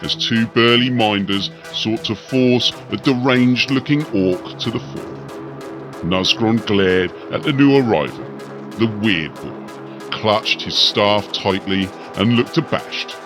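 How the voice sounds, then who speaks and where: A man's voice: on the low side, slow pace, music in the background. A man narrating a scripted fantasy story dramatically as an audiobook reader.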